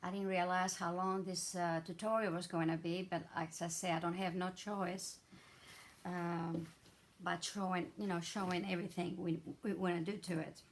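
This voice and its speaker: A hoarse middle-aged woman, chatting her way through a craft tutorial with many fillers and restarts. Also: lowish voice, slight background noise. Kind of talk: casual step-by-step craft demonstration.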